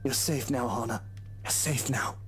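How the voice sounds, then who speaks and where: An actor voicing a gentle, protective young man in a visual novel, concerned and soothing. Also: mid-range voice, normal speed, soft background music, lightly acted.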